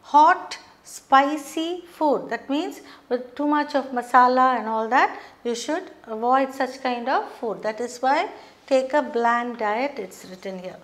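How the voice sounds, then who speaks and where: A middle-aged woman teaching a beginners' lesson at a whiteboard, explaining terms slowly and checking in with frequent "okay". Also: high voice. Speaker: English teacher. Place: studio classroom with whiteboard.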